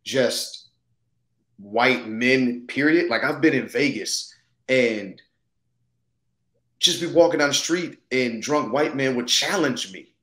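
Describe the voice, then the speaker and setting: A man's voice: medium pitch, lively intonation, slow, pauses often, pushed and bright. A man in his thirties speaking thoughtfully and casually in a conversational interview over a video call. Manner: thoughtful, casual, punctuated with "you know what I'm saying". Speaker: actor, interview guest. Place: indoor room, video call. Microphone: video call, seated close to the camera.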